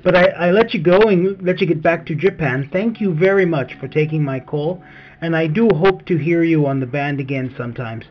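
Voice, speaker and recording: A man operating a low-power ham radio station from home, thanking the other operator warmly and spelling his call sign phonetically. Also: moderate pace. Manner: friendly, effusive, spells with phonetic alphabet. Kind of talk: ham radio contact over the air. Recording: muffled lo-fi radio with faint music.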